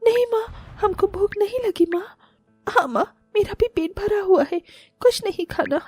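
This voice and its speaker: Actress as meek, affectionate young daughter for animated tale, high childlike plea, dry sound, faint music. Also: female voice, normal speed, no room sound. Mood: tender, concerned. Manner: pleading, childlike. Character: poor, timid, loving young daughter.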